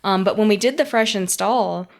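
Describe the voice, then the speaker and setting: A woman's voice: mid-range voice, moderate pace, vocal fry. A woman co-hosting a podcast, chatting casually about tech and acting out her reactions as she tells stories. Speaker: podcast co-host.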